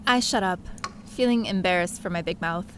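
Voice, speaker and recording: A woman's voice: lowish voice, fairly quick, a bit bright, slight background noise, close to an everyday voice. A woman playing a curious, sheepish young adventurer who narrates her own story in an audio drama. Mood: reflective. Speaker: lead character and narrator. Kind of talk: first-person scripted story narration with dialogue.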